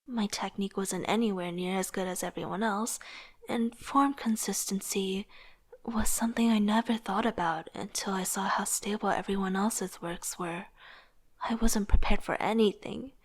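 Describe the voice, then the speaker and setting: A young woman playing an aspiring artist, narrating her own story in a soft, introspective, wistful way. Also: medium pitch, normal speed, natural voice. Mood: self-doubting. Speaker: narrator and lead character. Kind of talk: reflective first-person narration with dialogue.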